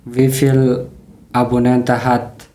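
Ein Mann aus Afghanistan mit Deutsch als Fremdsprache moderiert einen Podcast und spricht vorbereitete Sätze bedächtig vor. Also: male voice, mid-range voice, monotone, warm tone, reverberant. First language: not German. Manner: careful, learner grammar, slightly halting. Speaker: podcast host. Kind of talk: reading prepared talk in learner German.

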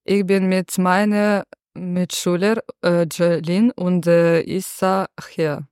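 A woman, hosting a student podcast in slow, careful learner's German with frequent pauses. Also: on the low side, level tone, a little mellow, clear, resonant tone, dry recording, clean studio sound. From Ukraine. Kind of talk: school podcast interview.

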